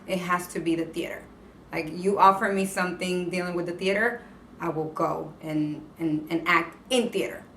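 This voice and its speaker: A woman answering interview questions in a passionate, expressive way. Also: on the low side. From Puerto Rico. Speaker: interview guest, entertainment correspondent. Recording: echoey room, distant mic, faint noise.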